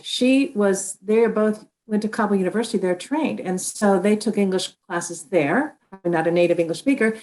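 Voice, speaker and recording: A woman's voice: mid-range voice, a little mellow. Earnest woman guest. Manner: earnest, with frequent restarts and filler. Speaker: interview guest, author. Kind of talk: conversational interview.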